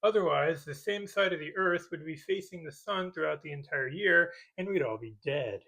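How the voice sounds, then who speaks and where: A man's voice: on the high side, moderate pace, creaky, sharp tone, husky, low-quality recording. A man delivering a scripted comedic rebuttal in a sarcastic, mock-serious way, as a YouTube commentator. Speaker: YouTube commentator parodying movie critique videos.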